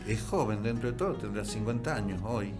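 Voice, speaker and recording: Low-voiced Argentine man telling history. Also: fairly quick. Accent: Argentine Spanish. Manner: hesitant restarts, animated bursts. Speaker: guest, writer and musician. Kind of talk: conversational storytelling. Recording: music playing behind.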